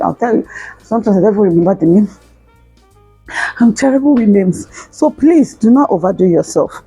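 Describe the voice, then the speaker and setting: A woman's voice: on the low side, mellow, slightly rough, poor audio. A woman in her thirties chatting earnestly to camera, giving lifestyle advice with a teasing, laughing manner. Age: 30s. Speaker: lifestyle vlogger. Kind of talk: casual advice monologue to camera.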